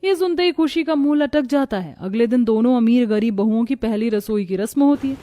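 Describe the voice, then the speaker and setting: Female storyteller for animated moral tales: mellow, medium-pitched, scripted narration, very dry sound. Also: brisk, no room sound.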